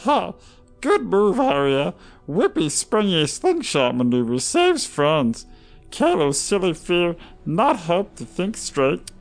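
Gravelly high alien crewmate. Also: male voice, huge pitch swings, takes it slowly, faint music behind, full character voice. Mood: giddy. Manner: giddy, clipped broken grammar. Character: excitable alien male crewmate, broken speech.

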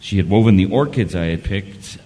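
Low-voiced haunted man, deep reverb. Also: animated, warm tone. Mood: reflective. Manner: halting, stammering, repeating words. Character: haunted, ailing man, confessing and grieving in his journal. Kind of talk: dramatic audio play narration and dialogue. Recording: long hall reverb, music behind. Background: music.

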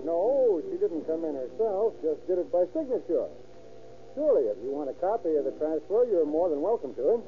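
A man's voice: a little high, sing-song, brisk, faint music behind. An actor playing a talkative, obliging small-town man in an old-time radio crime drama. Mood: helpful. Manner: folksy, talkative. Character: chatty, obliging small-town male witness. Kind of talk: 1930s-1940s radio acting. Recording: tinny old radio recording.

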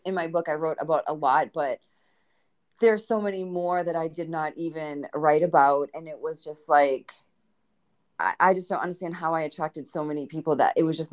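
A woman with a Boston accent, a guest author telling dating stories in a podcast conversation and acting out the lines of the people in them. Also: deep voice. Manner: animated storyteller who acts out quoted dialogue.

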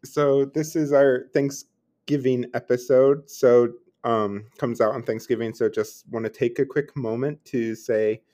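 A podcast co-host from Pennsylvania chatting casually, direct and relaxed, with many fillers. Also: mid-range voice, a little slow.

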